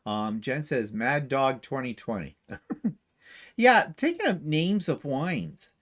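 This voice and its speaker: A man's voice: mid-range voice, sing-song, slow pace, a bit bright. Chatty man reading out comments. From Canada. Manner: reads comments aloud, chuckling asides. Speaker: livestream co-host. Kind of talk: casual chat reading viewer comments.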